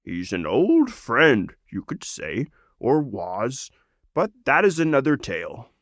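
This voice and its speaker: A man's voice: mid-range voice, huge pitch swings, takes it slowly, fairly bright tone, dry recording, pitched above the performer's own voice, exaggerated voice. Guy doing a noble lizard wizard for a kids fantasy book, proud n solemn, big speechy delivery, close dry sound. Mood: solemn, earnest.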